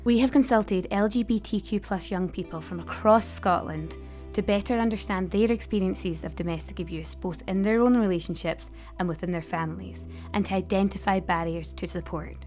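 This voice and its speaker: A woman delivering scripted narration for a training film, in a measured informational style. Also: mid-range voice, darkish tone, faint music behind.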